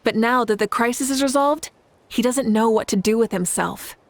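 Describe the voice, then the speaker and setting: An actress playing a confident, composed heroic leader with a playful side in a video game, speaking in an assured, wry manner. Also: female voice, fairly high pitch, normal speed, slight background noise, close to an everyday voice. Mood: earnest, assured. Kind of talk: video game character dialogue. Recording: music behind the voice.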